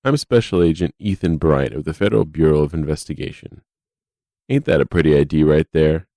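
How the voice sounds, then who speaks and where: An actor in a radio drama playing an arrogant, sarcastic, foul-mouthed FBI agent who talks down to people with wordy mock politeness. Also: male voice, extremely low pitch, a little fast, warm tone, no echo, clean studio sound. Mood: condescending, irritated.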